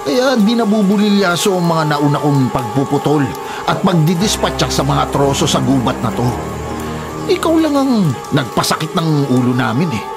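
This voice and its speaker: A man's voice: fairly high pitch, very animated, moderate pace. An actor playing a ruthless, gloating Filipino gunman and illegal logger in a radio drama, with a menacing, taunting delivery. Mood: taunting, cruel. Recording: loud dramatic music under voice.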